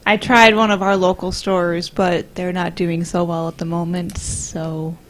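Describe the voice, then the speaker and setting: A woman's voice: fairly low pitch, little pitch movement, a little slow. A woman co-hosting a podcast in casual, conversational talk. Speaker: podcast co-host. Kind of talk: casual chat. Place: small room, round table.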